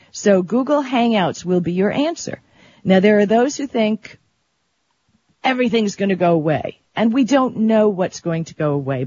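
A tech talk show co-host explaining things in a chatty, colloquial, conversational way, with a husky, rough-edged voice. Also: mid-range voice, a little mellow. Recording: dull, lo-fi audio.

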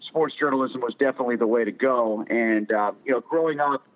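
A man's voice: medium pitch, a little fast. A man in his forties with an American accent, a talkative sports journalist chatting casually as an interview guest. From Connecticut, United States. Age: 40s. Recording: tinny phone-like radio line.

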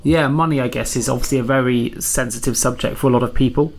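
A man hosting a podcast interview, chatty and friendly, his voice a little croaky from a cold. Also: mid-range voice, steady tone, quick pace. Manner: friendly, chatty, filler-heavy. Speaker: podcast host.

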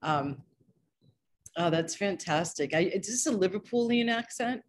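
A woman's voice: mid-range voice, lively intonation, measured pace, a little hiss or hum. A woman speaking as a podcast guest, a healer and coach explaining ideas in an earnest, discursive, conversational way. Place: online video call.

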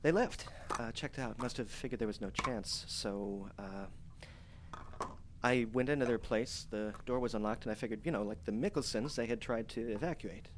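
An actor playing a frightened young man trapped alone, recording a halting, stammering diary in a horror audio drama. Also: medium pitch, a little hiss or hum, lightly acted. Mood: uneasy, halting.

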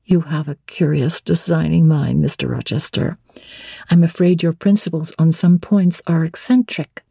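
Older storyteller lady doing a frank young heroine, teasing and challenging, soft dark husky tone, dry recording. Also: deep voice, fairly even intonation, moderate pace, no echo, heavily acted. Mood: probing. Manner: playful, challenging, forthright. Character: spirited, frank young woman, teasing bride-to-be. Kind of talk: dramatic classic novel reading.

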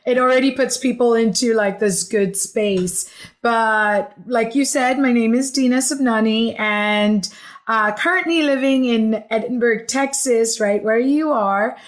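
A woman in her forties, from Hong Kong, chatting enthusiastically as a podcast guest and telling her life story with frequent "like" and "um". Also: on the high side. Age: 40s. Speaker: podcast guest, healing coach. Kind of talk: conversational storytelling interview.

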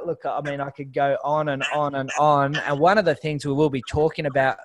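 A man hosting a podcast, introducing his guest with enthusiasm. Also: medium pitch. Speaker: podcast host. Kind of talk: podcast interview.

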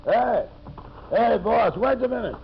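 A man's voice: very high-pitched, expressive, unhurried, vocal fry, husky, exaggerated voice. An actor playing a surly, scheming timber foreman in a 1950s radio drama. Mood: eager. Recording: noisy, muffled 1950s radio broadcast.

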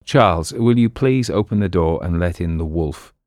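A man's voice: sits low, lively intonation, moderate pace, darkish tone, no room sound, studio quality. A man voicing a clever, pompous con man in an audiobook, breezy and bossy. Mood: wry. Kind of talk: audiobook character voice.

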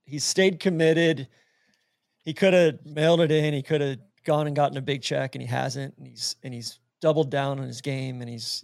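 A man's voice: medium pitch, vocal fry, no room sound, studio quality. A man co-hosting a casual sports podcast, giving blunt opinions with crude jokes. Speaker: podcast co-host. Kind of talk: casual sports roundtable chat.

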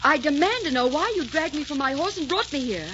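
High-voiced, defiant young captive. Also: female voice, expressive, brisk. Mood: cold, defiant. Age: young adult. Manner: indignant, demanding. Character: proud, defiant young woman captured in the desert. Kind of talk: 1940s radio drama acting. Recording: hissy, muffled 1944 radio recording.

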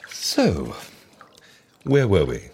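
Theatrical, fussy Egyptian fixer. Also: male voice, sits low, darkish tone, slightly rough, full character voice. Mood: flustered. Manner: prim, florid, droll. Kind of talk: audio drama dialogue.

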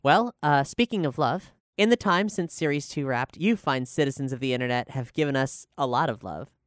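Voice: medium pitch, animated, moderate pace, dry recording, clean studio sound. A podcast host talking casually and earnestly in an articulate way during a Q&A discussion.

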